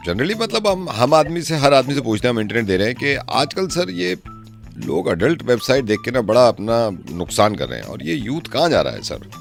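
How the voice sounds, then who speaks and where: A man's voice: mid-range voice, very animated, a little fast, gravelly, soft background music. A man on a prank phone call playing an earnest internet company official, deferential and hesitant, repeating himself in mock concern. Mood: polite, concerned.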